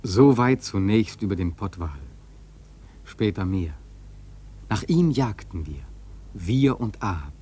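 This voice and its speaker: A man's voice: on the low side, expressive, slow, long pauses, dark tone, husky, full character voice. An actor playing a seasoned, wry sailor who recounts his whaling voyage in a radio play, musing and emphatic. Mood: quiet, brooding. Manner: musing, rhetorical, emphatic storytelling. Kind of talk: literary radio play narration.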